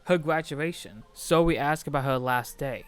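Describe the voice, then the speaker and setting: A man with a voice a little higher than most delivers scripted video-essay narration. He keeps it informal and opinionated, slipping in casual asides. Music plays clearly behind him. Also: moderate pace. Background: background music.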